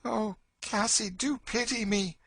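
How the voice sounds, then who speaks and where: A man's voice: high-pitched, takes it slowly, a bit bright, breathy edge, no echo, pitched above the performer's own voice, exaggerated voice. A man voicing a frightened, naive young enslaved woman in an audiobook, anxious and imploring. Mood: miserable, pleading. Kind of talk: audiobook character acting.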